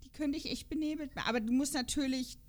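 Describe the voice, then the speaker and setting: A woman's voice: high-pitched, very fast, crowd noise. A woman co-hosting a podcast in a casual, unscripted chat, rambling along and often checking for agreement. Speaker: podcast co-host reviewing children's audio dramas.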